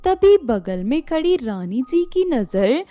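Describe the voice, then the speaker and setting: A woman's voice: high-pitched, sing-song, takes it slowly, warm tone, faint music behind. A woman storyteller reading a scripted fairy-tale narration.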